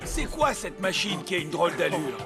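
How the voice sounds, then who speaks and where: A man playing a cocky, excitable young football star in a dubbed cartoon. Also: high-pitched, moderate pace, vocal fry, heavily acted. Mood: breezy. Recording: music behind the voice.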